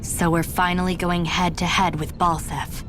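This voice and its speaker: A woman's voice: fairly low pitch, level tone, normal speed, noisy background, close to an everyday voice. An actress playing a cheery young resistance woman in a video game, teasing and coaxing in a friendly way. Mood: resolved.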